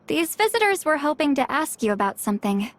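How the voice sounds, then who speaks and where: A woman's voice: high voice, very animated, fairly quick, slight background noise. A woman voicing an earnest, polite and slightly flustered young woman in a video game, with a hesitant, sincere manner. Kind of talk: video game character acting. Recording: faint music behind the voice.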